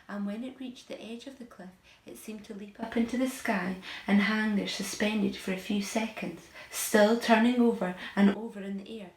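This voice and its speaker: A woman reading a children's story aloud like a teacher to pupils, in a dramatic, emphatic, expressive storytelling style. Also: mid-range voice, reverberant.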